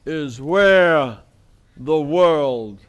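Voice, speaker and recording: A man's voice: fairly high pitch, lively intonation, very slow, dull audio, pitched below the performer's own voice. A man voicing a proud horse in a children's storybook, sure of itself and drawing out its declarations.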